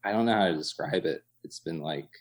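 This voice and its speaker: A man talking casually as an interview guest, in an informal, halting way full of hedging asides. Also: deep voice. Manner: informal, halting, many hedging asides, chuckles through speech. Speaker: interview guest, coffee shop co-owner. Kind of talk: casual chat. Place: inside his cafe.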